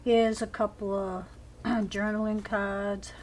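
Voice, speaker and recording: A woman's voice: mid-range voice, flat delivery, takes it slowly. A woman with a scratchy voice, chatting casually as she shows off each page of a handmade journal at home. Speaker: crafter showing a handmade journal. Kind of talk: casual show-and-tell.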